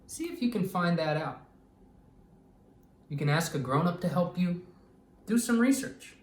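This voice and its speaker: A man reading aloud to children as a teacher, with an expressive, deliberate delivery that draws out words. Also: sits high. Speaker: storytime reader and teacher. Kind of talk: read-aloud storytime for children. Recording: echoey room, distant mic.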